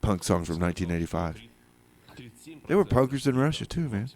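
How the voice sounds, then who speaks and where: A man's voice: on the low side, big pitch swings, a little fast, a little mellow. A man hosting a music radio show with casual, hesitant, tongue-in-cheek patter, often repeating phrases for effect. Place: radio studio.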